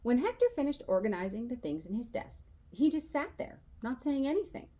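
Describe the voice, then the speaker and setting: A woman reading a children's novel aloud as an expressive storyteller, narrating in the voice of a boy and acting out the dialogue. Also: a little high, a little fast. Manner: animated, acts out dialogue.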